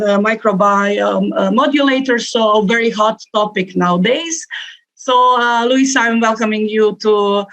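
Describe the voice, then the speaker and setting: A woman hosting an online event, introducing a speaker informally in a hesitant, halting way. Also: mid-range voice, a bit bright, muffled recording.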